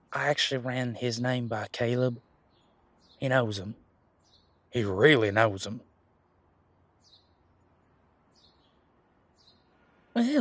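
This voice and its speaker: A man playing a laid-back, responsible werewolf security guard in a casual roleplay audio, talking in a colloquial, halting, matter-of-fact way with a husky voice. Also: medium pitch, no room sound, close to an everyday voice. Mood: frank, uncertain.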